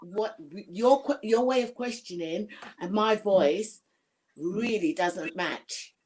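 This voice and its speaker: A woman with a gruff voice chatting frankly with her family support team, often restarting her sentences. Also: mid-range voice, slow, darkish tone, slight background noise. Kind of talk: casual team chat.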